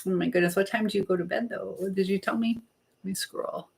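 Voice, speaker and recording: A woman's voice: medium pitch, fairly even intonation, brisk, vocal fry, darkish tone. A woman hosting a casual live chat in a chatty way, greeting viewers and reading out comments. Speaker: livestream host. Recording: roomy, echoey indoor sound.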